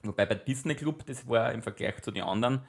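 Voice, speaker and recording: A young man co-hosting a casual podcast, chatty and quick to laugh. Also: medium pitch, vocal fry, husky. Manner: chatty, hums cartoon theme tunes, laughs easily. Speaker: podcast co-host. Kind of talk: casual chat.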